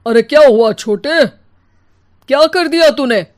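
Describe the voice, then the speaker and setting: A woman's voice: high-pitched, a little slow, pitched above the performer's own voice. A woman voicing a caring, responsible elder brother in a cartoon moral story, speaking earnestly. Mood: concerned.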